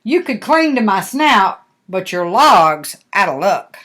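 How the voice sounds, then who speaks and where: A woman's voice: medium pitch, sing-song, slow pace, fairly bright tone. An older woman voicing a kind, mud-loving male hog from a storybook, matter-of-fact and a little sniffy.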